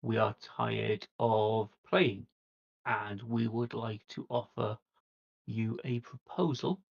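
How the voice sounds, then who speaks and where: A man playing an earnest, diplomatic vampire envoy in a tabletop roleplay, speaking in a measured, formal, persuasive way. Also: lowish voice, steady tone, gravelly, exaggerated voice. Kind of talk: in-character tabletop roleplay dialogue. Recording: dull lo-fi livestream audio.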